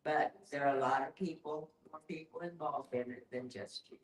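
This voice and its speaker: A mature woman with a distinctly low voice speaks in an echoey room, a little away from the mic. She talks casually and bluntly, stating her views outright. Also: normal speed, distant mic, a little hiss or hum. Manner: blunt, opinionated. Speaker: discussion participant. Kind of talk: casual group discussion.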